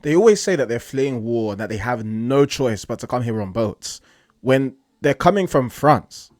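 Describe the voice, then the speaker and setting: A man's voice: medium pitch, expressive, moderate pace, a little mellow, no echo. Dry voice-over from a wry man. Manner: wry, pointed. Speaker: channel host commenting. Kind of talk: voice-over commentary.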